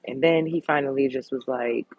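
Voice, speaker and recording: A woman hosting a casual livestream chat, reading out comments in a candid, blunt way, with a deep, sultry voice. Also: moderate pace, slightly rough, no room sound, lo-fi sound. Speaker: host.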